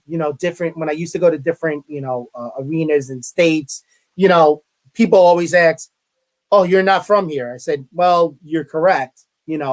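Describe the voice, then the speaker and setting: A middle-aged man with a Brooklyn accent, a guest chatting casually in an interview and often repeating his words. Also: on the high side. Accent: Brooklyn New York. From Brooklyn, New York. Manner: chatty, repeats words, self-deprecating asides. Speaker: guest, college media relations director.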